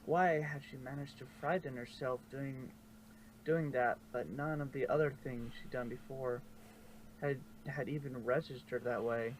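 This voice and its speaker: A man narrates a story in an amateur reading style with a middle-pitched voice. He halts and corrects himself as he goes. There is faint noise on the recording. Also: steady tone. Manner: halting, stumbles and corrects himself. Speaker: narrator of a fan fiction reading. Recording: noisy amateur home recording.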